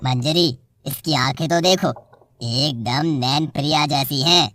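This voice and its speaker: A gruff grumbling snake-charmer man. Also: deep voice, measured pace, fairly bright tone, dry recording, studio quality. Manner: blunt and irritable, grumbling to himself. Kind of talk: cartoon voice acting.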